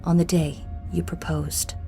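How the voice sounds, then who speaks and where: A woman voicing a commanding, playfully threatening wife with a measured, teasing manner in a scripted ASMR roleplay. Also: lowish voice, level tone, creaky, warm tone, soft background music. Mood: stern.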